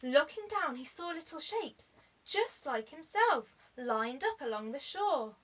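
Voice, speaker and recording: A woman reading a children's story aloud like a teacher, expressively, with deliberate dramatic emphasis. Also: sits high, expressive.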